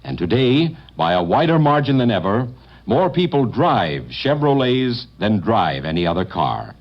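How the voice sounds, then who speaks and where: A man's voice: medium pitch, sing-song, a little slow. A man reading a formal sponsor announcement as a radio announcer, with a polished, emphatic delivery. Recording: dull lo-fi 1950 radio broadcast.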